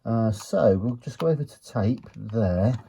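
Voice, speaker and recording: A man giving an unhurried, low-key, matter-of-fact commentary as he works on a gadget, muttering to himself at times. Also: expressive, gravelly, background noise. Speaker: retro electronics hobbyist presenter. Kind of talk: casual hands-on teardown commentary.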